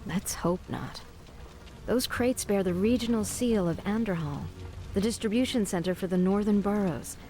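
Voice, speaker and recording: A woman's voice: mid-range voice, moderate pace, warm tone, lightly acted. Heroine, female actor: poised, thoughtful sorceress for a fantasy game, mid-range voice, articulate and level-headed. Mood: concerned. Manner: composed, articulate. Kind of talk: video game cutscene acting.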